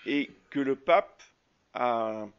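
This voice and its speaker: A man preaching a sermon as a priest, halting often with fillers and restarts. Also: medium pitch, smooth, dull audio. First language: French.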